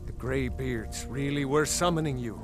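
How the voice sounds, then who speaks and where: An actor voicing a grave, authoritative ruler in a fantasy video game, measured and weighty. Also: male voice, medium pitch, loud music behind the voice, natural voice. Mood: solemn awe.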